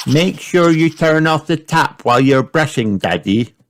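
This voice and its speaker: Man putting on an excited high-pitched little girl pig. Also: measured pace, a little hiss or hum. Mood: eager, instructive. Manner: excited, exclaiming. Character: eager, bossy little girl pig, cheerful child.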